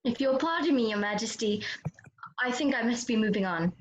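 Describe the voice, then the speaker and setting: A woman's voice: fairly high pitch, animated, brisk, echoey room, lightly acted, rough recording. A woman playing an earnest, polite, puzzled young girl in a children's readers' theater performance. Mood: polite, apologetic. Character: lost, polite but questioning young girl.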